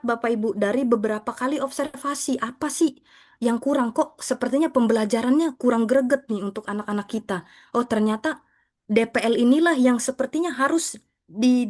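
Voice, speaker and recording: A woman's voice: fairly high pitch, normal speed, darkish tone. A woman speaking as a teacher, explaining material to fellow educators in a conversational, respectful presentation style. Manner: conversational, repeatedly addressing listeners with respectful terms.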